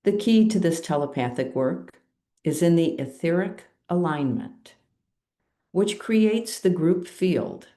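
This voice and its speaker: A woman hosting an online group meditation call, speaking in a calm, measured, gently instructive way. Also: sits low. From USA. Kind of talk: slow guided meditation introduction.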